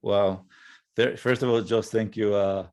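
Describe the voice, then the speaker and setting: Israeli guy in his 40s or so, lowish voice, thick israeli accent, lots of uhs and restarts while he talks. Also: steady tone, darkish tone. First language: Hebrew. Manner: halting, many fillers and restarts. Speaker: guest, nonprofit CEO. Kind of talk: conversational interview.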